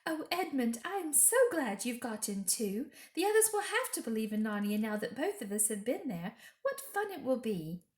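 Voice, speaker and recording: A woman's voice: a little high, big pitch swings, normal speed, hardly any pauses, darkish tone, pitched above the performer's own voice. A woman voicing an earnest, trusting young girl in a storybook, full of eager wonder. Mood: happy, earnest. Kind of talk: storybook character reading. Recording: echoey room.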